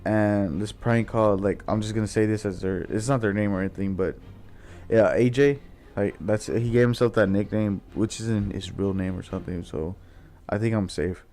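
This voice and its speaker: A man's voice: on the low side, fairly even intonation, normal speed, warm tone, faint music behind. Young man telling a rambling casual story. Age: young adult. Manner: slangy, sweary, rambling.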